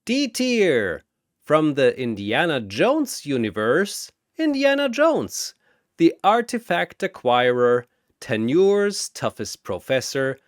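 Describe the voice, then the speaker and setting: A man voicing a bombastic cosmic arena announcer for a fantasy battle story, with theatrical, drawn-out delivery. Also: a little high, very animated, dry recording, studio quality. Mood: bombastic, showy.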